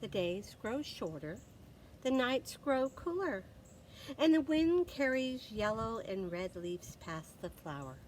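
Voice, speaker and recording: Slow, animated woman reading a children's story. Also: on the high side, slight background noise, rough recording. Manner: expressive, dramatic pauses, gasps and exclamations for effect. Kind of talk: animated read-aloud for young children.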